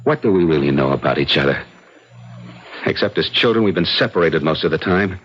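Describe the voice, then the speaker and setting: A deep-voiced, bitter, mocking twin. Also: male voice, expressive, brisk, a little hiss or hum. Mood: resentful. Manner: sardonic, theatrical, flowery. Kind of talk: old-time radio drama acting. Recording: dull, lo-fi radio drama recording.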